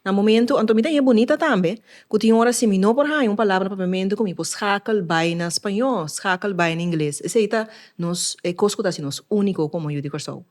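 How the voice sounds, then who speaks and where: A woman's voice: medium pitch, quick pace, few pauses, a little mellow. A woman with a Curaçao Papiamentu accent, talking earnestly and reflectively in a casual podcast conversation.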